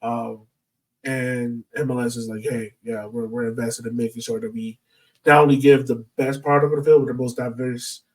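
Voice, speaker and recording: A man's voice: mid-range voice, level tone, normal speed, far from the mic, low-quality recording. An American man hosting a podcast in a casual, informal way, speaking directly to his listeners from a little way off the mic. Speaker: podcast host.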